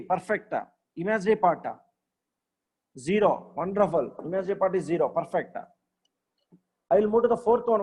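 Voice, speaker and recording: A man's voice: high voice, darkish tone, low-quality recording. A man, teaching maths in a live online class. He repeats himself, checks on his students often and praises their answers.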